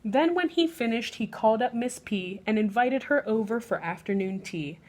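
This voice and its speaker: A woman with a fairly high voice reads a children's bedtime story. Her delivery is expressive and measured, following the rhyme and lingering on the ends of lines.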